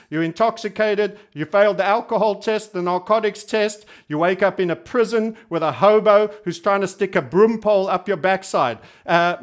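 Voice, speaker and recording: A man hosting an informal online trading commentary, speaking emphatically and repeating phrases for stress, with a cheeky, colourful way of putting things. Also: sits high. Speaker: YouTube trading host.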